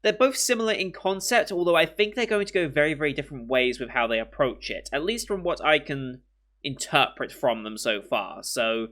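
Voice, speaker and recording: A young British man hosting an anime reaction channel, chatting casually and rambling with many pauses and restarts, a bit sniffly from a cold. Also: fairly high pitch, a bit bright. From Britain. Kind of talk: casual chat to camera.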